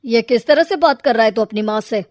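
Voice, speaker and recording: A woman's voice: a little high, fairly quick, a little mellow, no room sound, natural voice. A middle-aged retired family man from a cartoon moral tale, acted by a woman. He is a plain-spoken father figure, recorded close and dry. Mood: gruff. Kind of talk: cartoon moral-story character voice.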